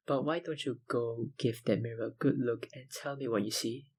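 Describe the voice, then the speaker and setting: Man playing a slow, breathy, obsessive vampire. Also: mid-range voice, steady tone, darkish tone. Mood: measured confiding. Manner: slow, deliberate, politely insinuating, with sighs and pauses. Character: obsessive, smooth-talking male vampire lab researcher, menacingly polite. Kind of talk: intimate ASMR roleplay monologue.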